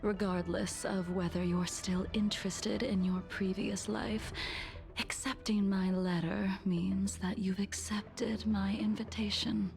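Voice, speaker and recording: Wistful older lady, slow, gravelly, teasing, game score underneath. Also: medium pitch, flat delivery, faint music behind. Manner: slow, measured, gently teasing. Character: older woman, calm, weary and wistful. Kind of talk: game voice acting.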